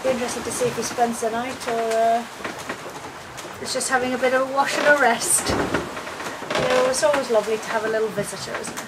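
A woman's voice: fairly high pitch, steady tone, fairly quick. A middle-aged woman, chatting cheerfully to camera as she vlogs from a sailing catamaran at sea. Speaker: sailing vlogger. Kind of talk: casual vlog narration to camera. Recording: loud wind and engine noise.

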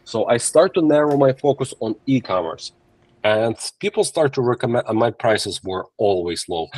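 A man's voice: medium pitch, normal speed, dry recording, rough recording. Ukrainian-accented man on a video call. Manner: animated storytelling in simple non-native English, frequent restarts. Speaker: agency owner, podcast guest. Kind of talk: casual interview chat. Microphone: video call from a laptop or phone camera.

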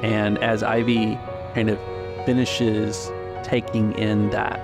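A man improvising narration as a tabletop game master, in a measured, halting way with drawn-out words. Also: lowish voice, little pitch movement, gravelly, music in the background.

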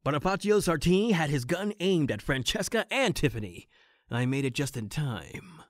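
A man's voice: fairly high pitch, sing-song, moderate pace, no echo, studio quality. A man playing an earnest private detective who narrates his own case in a noir-parody audio drama. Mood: determined.

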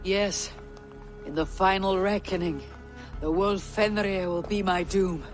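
A woman voicing a defiant, cunning Norse god in a fantasy video game, grave and measured. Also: mid-range voice, steady tone, faint music behind, lightly acted. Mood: grave, resolute.

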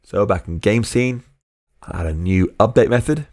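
A man's voice: fairly low pitch, normal speed, darkish tone, no room sound, studio quality. A man narrating a screencast coding tutorial as a programming tutor, explaining step by step and dictating code word by word.

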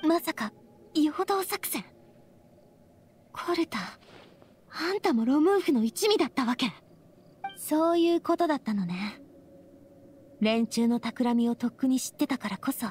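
An actress playing a bold, sharp-witted young woman boss in an anime game, assertive and direct. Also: high-pitched, slight background noise. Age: young adult.

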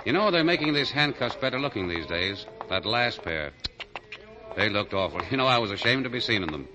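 Music plays behind a suave fugitive in an old 1940s radio play. His voice sits fairly low, and he talks with wry, breezy charm, never flustered. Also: sing-song, brisk, low-quality recording. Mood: breezy, teasing. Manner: breezy, urbane, teasing. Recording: 1940 radio broadcast.

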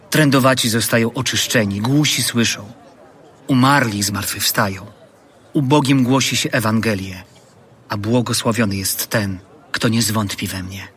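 A man's voice: mid-range voice, unhurried, a bit bright, close to an everyday voice. An actor playing an earnest, authoritative male preacher addressing crowds in a dramatized scripture audio play, with declamatory, emphatic delivery. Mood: solemn, assured.

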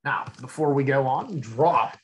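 A man's voice: mid-range voice, measured pace, breathy edge. An American man reading a children's book aloud in a teacherly way, explaining and asking his young listeners questions.

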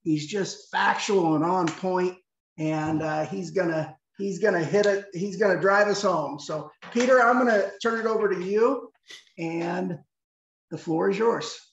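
A man's voice: high-pitched, unhurried, vocal fry, slight background noise. Plainspoken man from southeast Kansas, roomy and muffled video-call sound. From southeast Kansas, USA. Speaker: team member introducing speaker. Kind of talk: casual introduction.

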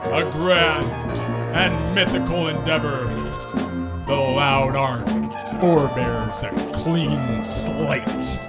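Casting: man as grave old-time horror-radio narrator, dramatic, very unhurried, loud musical backing. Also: on the high side. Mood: solemn, ominous. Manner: grave, dramatic, halting phrases. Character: solemn, portentous male narrator of strange tales. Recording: live stage performance with loud music.

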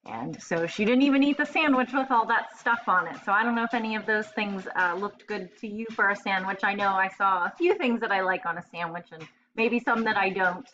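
Young female primary teacher, bright and forceful tone, casual read-aloud for little kids, slightly dull webcam sound. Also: medium pitch, a little fast, few pauses. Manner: addresses children directly, casual. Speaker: elementary school teacher. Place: indoor room, webcam screencast.

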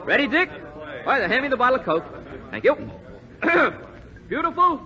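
A man's voice: extremely high pitch, big pitch swings, moderate pace, bright, forceful tone, lo-fi sound, exaggerated voice. An actor playing a young, wry, wisecracking American bomber airman in a 1940s radio drama. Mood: relieved, elated. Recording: noisy, band-limited 1940s radio recording.

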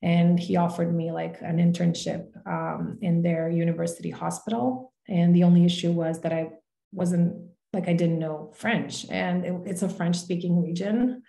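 Young Croatian woman, low relaxed voice. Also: moderate pace, gravelly, roomy sound. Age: young adult. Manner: relaxed and open, with frequent "like" and "um". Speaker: podcast guest. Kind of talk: casual interview chat. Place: home room, video call. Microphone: video call webcam.

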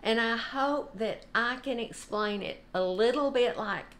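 An older woman giving an informal, unhurried devotional talk. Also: a little high, fairly bright tone, slight background noise. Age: older, about 70s. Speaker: devotional host. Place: home kitchen, indoors.

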